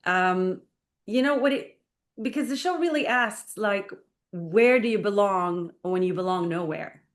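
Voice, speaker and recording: A woman's voice: medium pitch, measured pace. Earnest woman guest on talk radio. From Israel. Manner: earnest, uses many fillers like "like" and "you know". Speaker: guest, playwright and performer. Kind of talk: radio interview. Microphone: studio microphone.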